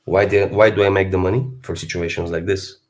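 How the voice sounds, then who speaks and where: A man, hoarse from a cold, talking bluntly and philosophically in a casual livestream monologue as a fitness streamer. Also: low voice, level tone, brisk. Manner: blunt, philosophizing, swears freely.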